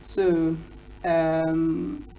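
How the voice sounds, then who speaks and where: A woman's voice: deep voice, flat delivery, very slow, mellow, low-quality recording. A Nigerian woman whose first language is Igbo, talking reflectively in a studio interview and often saying "you know". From southeast Nigeria. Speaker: interview guest, cultural storyteller. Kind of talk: casual interview talk.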